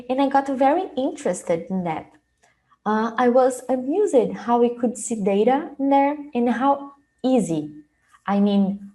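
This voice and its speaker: A young adult woman from Brazil, a native Portuguese speaker with a Brazilian accent, presenting an informal online webinar with hesitant, halting phrasing. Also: a little high, warm tone. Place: small indoor room, video call.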